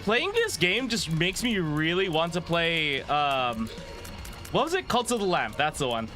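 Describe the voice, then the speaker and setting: A man with a raspy voice chatting casually and rambling in a self-deprecating way as he livestreams a game. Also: a little high, sing-song, unhurried, pushed and bright, soft background music. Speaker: livestreamer, VTuber host. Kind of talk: casual gaming stream chat.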